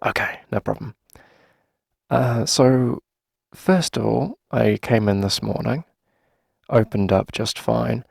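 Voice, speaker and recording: A man's voice: mid-range voice, slow pace, dry recording, clean studio sound, heavily acted. A man playing a friendly, flustered coffee shop owner in a casual, chatty ASMR roleplay, talking hesitantly as if to a favorite customer. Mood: weary, exasperated. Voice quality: creaky, gravelly. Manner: hesitant, chatty, sighing conversational delivery.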